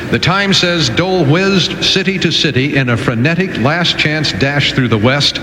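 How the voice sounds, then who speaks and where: A man's voice: a little high, normal speed, sharp tone. A man working as a radio newsreader and sidekick, reading news and bantering on a live remote. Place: large public venue, live radio remote. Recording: roomy live remote broadcast, noisy hall.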